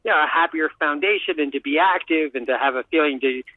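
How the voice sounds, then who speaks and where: Male co-host phoning in, quick and eager, thin phone-line sound. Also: a little high, dry recording. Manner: enthusiastic, rapid, full of fillers. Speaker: magazine editor and co-host. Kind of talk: casual radio chat.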